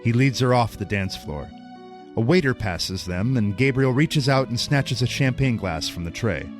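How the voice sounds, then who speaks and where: A man's voice: mid-range voice, animated, normal speed, soft background music. A man narrating an audio drama from a script as its storyteller.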